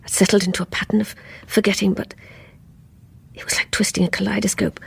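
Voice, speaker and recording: Troubled English woman, middle-aged, creaky voice, halting reflective narration, radio drama. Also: medium pitch, level tone, in a whisper, exaggerated voice. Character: guarded, troubled middle-aged woman confiding her story.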